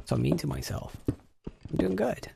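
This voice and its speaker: A man's voice: fairly high pitch, animated, measured pace, gravelly. A young man chatting casually on a game stream, joking and swearing, at a close desk mic. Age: young adult. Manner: jokey, sweary. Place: indoors at a desk. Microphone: close streaming mic on boom arm. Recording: faint background noise and clicks.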